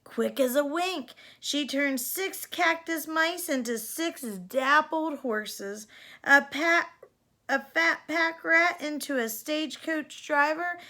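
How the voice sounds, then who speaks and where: A woman reading a children's story aloud as an expressive narrator. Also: sits high. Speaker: storybook reader.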